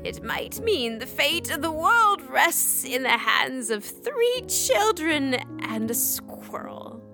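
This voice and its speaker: An actress playing an absent-minded, eccentric old woman in a children's audio drama, muttering theatrically and haltingly. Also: sits high, sing-song, over music, full character voice. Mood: grave.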